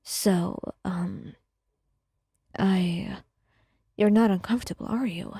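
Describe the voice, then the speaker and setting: Awkward steady male partner voiced by a woman; hesitant, breathy whisper. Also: medium pitch, slow, dry recording, clean studio sound, pitched below the performer's own voice, exaggerated voice. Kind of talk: whispered ASMR roleplay.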